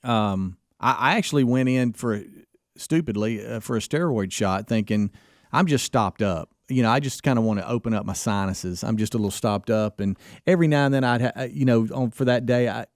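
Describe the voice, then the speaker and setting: A man's voice: mid-range voice, a little fast, dry recording, clean studio sound. A man co-hosting a radio talk show, telling a long rambling story full of restarts. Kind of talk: casual chat.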